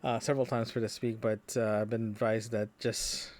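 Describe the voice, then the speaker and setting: A man recording a casual, unscripted audio diary as a podcaster, talking in a rambling, halting way with trailing asides. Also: lowish voice, flat delivery, darkish tone, dry recording.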